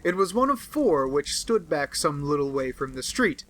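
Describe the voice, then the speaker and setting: A man with a slightly high voice reads a classic novel aloud. He tells the story in a measured way. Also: big pitch swings, a bit bright. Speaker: audiobook reader.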